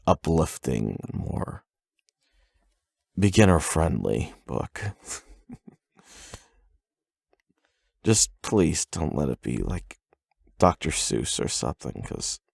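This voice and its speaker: A man with a husky, gravelly voice speaking softly and intimately in an unhurried bedtime ASMR style, close to the microphone. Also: extremely low pitch, dry recording. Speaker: ASMR boyfriend roleplay performer. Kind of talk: soft bedtime reading and intimate ASMR roleplay.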